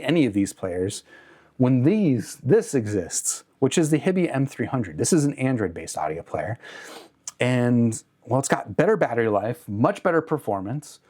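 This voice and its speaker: Casual man reviewing gear, mid-pitched voice. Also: darkish tone. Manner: conversational, hedging, self-correcting. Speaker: host of an audio gear review channel. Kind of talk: casual unscripted product review to camera.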